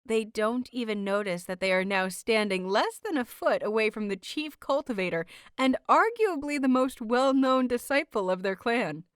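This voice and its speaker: A woman hosting and narrating a fiction audiobook in an expressive, carefully enunciated storytelling style. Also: on the high side, moderate pace, hardly any pauses, dry recording, clean studio sound. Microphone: close microphone, dry recording.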